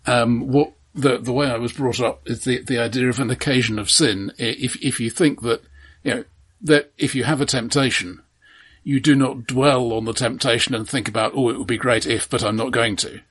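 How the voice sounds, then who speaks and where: Male film podcast co-host with a rough, husky voice, reflective and halting, in relaxed conversational discussion. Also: mid-range voice.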